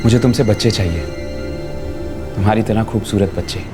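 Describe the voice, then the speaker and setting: An actor playing a loving, placating young husband in a TV crime drama, reasoning and sprinkling in English words. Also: male voice, lowish voice, steady tone, fairly quick, lightly acted. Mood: tender, earnest. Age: young adult. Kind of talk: Hindi TV crime serial acting. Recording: dramatic background music.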